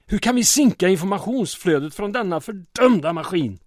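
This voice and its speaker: An actor playing a small-town mayor under occupation in an audio drama, earnest but wry and weary. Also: male voice, high voice, lively intonation, dry recording, studio quality.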